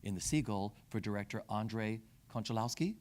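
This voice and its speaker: A man moderating an interview, putting prepared questions in measured, formal phrasing. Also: fairly low pitch, darkish tone.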